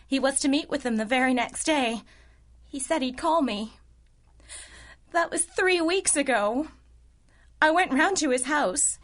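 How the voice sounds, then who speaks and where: A helpless damsel in distress in an old-fashioned radio serial. Her high voice is earnest and fretful, gushing and easily flustered. She stays polite and ladylike throughout. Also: measured pace, pauses often, fairly bright tone. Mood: anxious, earnest. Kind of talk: melodramatic 1930s-style radio drama acting.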